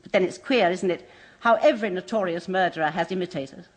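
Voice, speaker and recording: An actress as a crisp museum keeper. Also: female voice, fairly low pitch, close to an everyday voice. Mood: cool. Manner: brisk, precise, faintly macabre relish. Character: composed woman manager of a waxworks museum. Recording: muffled old radio broadcast.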